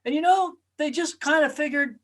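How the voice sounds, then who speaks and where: Male storyteller, high register. Earnest, dramatic delivery of a spoken parable, emphatic, playing out the dialogue. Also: moderate pace, background noise.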